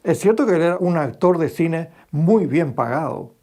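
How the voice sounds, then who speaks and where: A man's voice: a little high, animated, moderate pace, dark tone. A man recalls his research in a documentary interview, emphatically repeating phrases to build his points. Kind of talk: spontaneous interview recollection.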